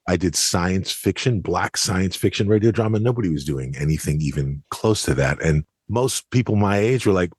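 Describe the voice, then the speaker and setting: Co-host, American man in middle age: low raspy voice, animated conversational commentary, dry studio-like sound. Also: dry recording. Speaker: podcast co-host.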